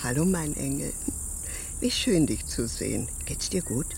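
Sweet old lady in a kids radio play, lowish lilting voice, crickets and noise behind her. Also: animated, a little slow, vocal fry, a little mellow, full character voice. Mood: tender. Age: older. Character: kindly elderly woman with failing eyes, affectionate. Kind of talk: German children's radio play acting.

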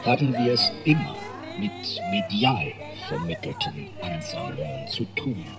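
A very deep-voiced female narrator delivers lecture-like radio play text over prominent music. Her phrasing is slow and fragmented, with big swings in pitch. She sounds solemn and theoretical, like an experimental art piece. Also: heavily acted. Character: female narrator solemnly theorizing about a digital future society.

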